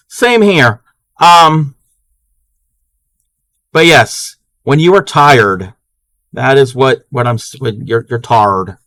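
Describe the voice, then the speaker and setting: A man's voice: medium pitch, sharp tone, dry recording. A man from Maryland who chats casually on a crafting live stream, answering his chat as he works and often restarting his sentences. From Maryland, USA.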